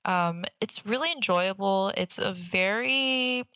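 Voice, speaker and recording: A woman co-hosting a podcast in casual, excitable chat, informal and given to swearing. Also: mid-range voice, slow pace, clean tone, dry recording, lo-fi sound. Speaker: podcast co-host. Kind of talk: casual enthusiastic chat about anime.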